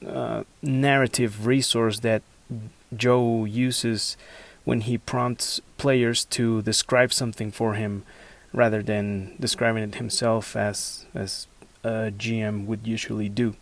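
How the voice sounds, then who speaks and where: A man's voice: on the low side, little pitch movement, slow pace, gravelly. A man asking a recorded listener question, politely and hesitantly, searching for his words. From Mexico. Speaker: listener sending an audio question.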